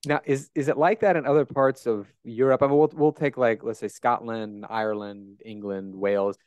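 Chatty American host, Great Plains accent. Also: male voice, mid-range voice, steady tone, moderate pace, a little mellow, smooth, no room sound, studio quality. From United States. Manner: curious, chatty, many restarts. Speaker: podcast host. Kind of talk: casual interview chat. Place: bright room at home. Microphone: handheld dynamic mic close up.

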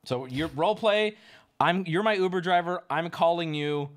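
A man hosting a livestream, chatting casually and teasing, with loud joking outbursts. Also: on the high side, moderate pace. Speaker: livestream host. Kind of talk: casual stream chat.

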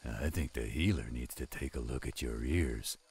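A man's voice: very deep voice, moderate pace, darkish tone, slight background noise. A man playing a pushy, loyal friend in a roleplay audio drama, steadily teasing and insisting. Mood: teasing, insistent.

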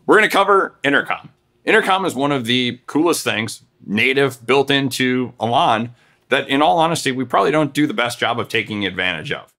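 Bright-voiced American man presenting. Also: mid-range voice. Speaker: sales director presenting tutorial. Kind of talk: presenter talk to camera. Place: furnished living room of a house, indoors.

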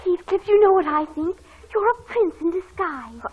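A woman's voice: very high-pitched, expressive, moderate pace. A woman playing a bossy, imaginative little girl in a 1940s radio drama. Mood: eager, playful. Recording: dull old radio broadcast.

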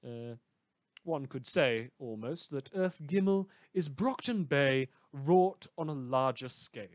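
A man playing an unhinged, sardonic radio host who delivers halting, wordy monologues in a theatrical manner. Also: mid-range voice, lively intonation, no echo, clean studio sound. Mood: sardonic, musing. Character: rambling, unhinged male radio host in a superhero world. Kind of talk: satirical radio news monologue.

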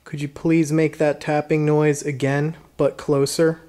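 A man working as a paranormal investigator, chatting casually in a dark indoor hall. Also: on the high side, level tone, measured pace. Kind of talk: casual chat during a ghost hunt.

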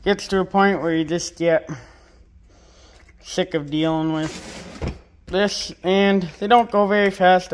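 A young man vlogging from his farm workshop, narrating casually in a laid-back, rambling way with plenty of fillers. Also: a little high. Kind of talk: casual narration while working.

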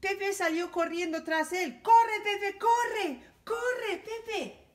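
A woman reading a children's picture book aloud for library storytime, in an animated, sing-song storytelling manner. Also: very high voice, extremely slow.

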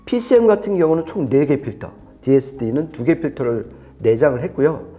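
Guy reviewing hifi gear, mellow voice but really animated pitch, chatty and explaining tech stuff. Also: brisk. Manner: explanatory, emphatic, conversational. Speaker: audio equipment reviewer explaining specs. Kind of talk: unscripted technical review talk.

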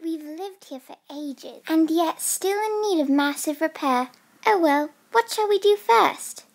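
A woman's voice: very high-pitched, lively intonation, unhurried, gravelly, full character voice. A woman voicing a polite, old-fashioned girl hostess with formal, genteel speech in an amateur doll movie. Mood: welcoming. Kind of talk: amateur doll stop-motion voice acting.

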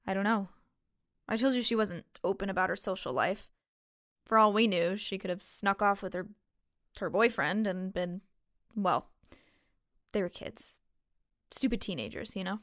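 A woman acts out a young waitress in a fiction podcast who keeps her guard up over her grief. She talks plainly and haltingly at a medium pitch, weary and a little defensive, in a very dry recording. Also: a bit bright, dry recording, lightly acted. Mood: subdued, explaining. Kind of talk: fiction podcast drama acting.

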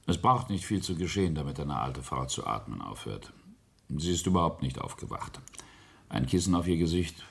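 An older actor playing a ruthless, boastful industrialist patriarch in a radio play, gravelly and husky, with a deliberate, imperious, cutting delivery. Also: male voice, a bass voice, expressive, heavily acted. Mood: cold, matter-of-fact. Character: ruthless, boastful patriarch and self-made industrialist, coldly confessing. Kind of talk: German radio play acting.

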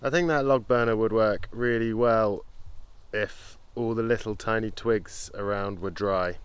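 A man's voice: lowish voice, steady tone, unhurried, clear, resonant tone, dry recording. A man filming his own bushcraft vlog outdoors in the woods, talking cheerfully with playful, jokey asides. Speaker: bushcraft vlogger. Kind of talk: casual outdoor vlog commentary. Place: woodland outdoors, in the rain.

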